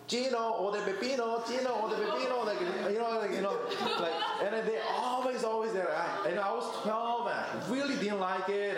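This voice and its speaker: A young man giving a chatty sermon as preacher, telling stories and laughing at himself. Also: on the high side. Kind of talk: conversational sermon. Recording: echoey church room.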